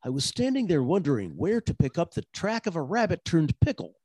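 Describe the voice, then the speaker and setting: Old-time radio private eye male actor: wry, wisecracking narrator and player, animated delivery, tight dry recording. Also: on the high side, fairly quick, slightly rough, no room sound, exaggerated voice. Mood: wry narrating. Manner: dry, sardonic.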